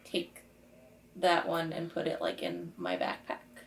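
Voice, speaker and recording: A woman's voice: deep voice, sing-song, slow, slight background noise. A woman speaking casually as a player in a tabletop game, improvising her character's words and actions. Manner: informal, conversational.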